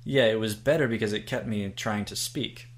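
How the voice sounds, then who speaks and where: A man's voice: lowish voice, normal speed. A man hosting an interview, easygoing and affirming with short replies before asking questions. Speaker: host, interviewer. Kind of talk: conversational interview.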